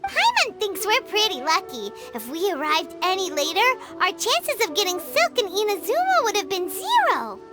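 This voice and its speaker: High, chirpy little sprite; very lively, music-heavy game mix. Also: female voice, moderate pace, few pauses, heavily acted. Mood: chatty. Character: tiny floating female companion sprite, excitable. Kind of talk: video game voice acting.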